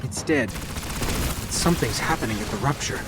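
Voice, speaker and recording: An actor playing a small robot companion in a video game, earnest, inquisitive and wary. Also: male voice, medium pitch, expressive, normal speed, very noisy, natural voice. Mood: focused. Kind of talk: cinematic video game dialogue. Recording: loud music under the voice.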